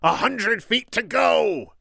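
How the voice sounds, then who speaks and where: Shrill, domineering Sicilian villain. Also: male voice, wildly swooping pitch, a little slow, vocal fry, bright, forceful tone, no echo, clean studio sound, full character voice. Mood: frantic. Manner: commanding, shrill, scornful.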